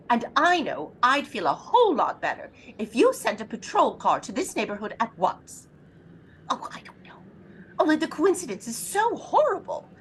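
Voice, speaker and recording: A woman's voice: on the high side, fairly bright tone, slight background noise, rough recording. An actress playing an anxious, demanding bedridden wife in a suspense audio drama, fretful, insistent and imperious as she frets.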